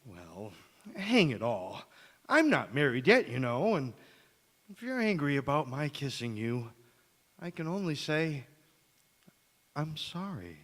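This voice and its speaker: A man playing a smitten, fickle young suitor in a radio drama, with a halting, earnest delivery. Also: mid-range voice, sing-song, a bit bright, full character voice. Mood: ardent. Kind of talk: stage radio drama acting. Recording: echoey church hall.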